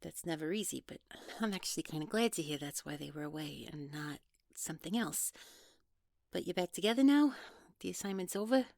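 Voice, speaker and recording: A woman playing a guarded, awkward young woman in an audio drama, speaking naturally in a halting, hesitant way. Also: on the low side, expressive, creaky, darkish tone, no room sound, clean studio sound. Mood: sympathetic, awkward. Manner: hesitant, halting, trailing off, nervous laughs. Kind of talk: naturalistic one-sided audio roleplay acting.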